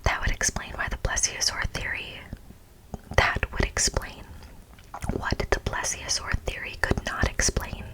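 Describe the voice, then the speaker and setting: A woman whispering as an ASMR host, softly reading from a script with casual asides. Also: rough recording. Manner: soft, unvoiced whispering.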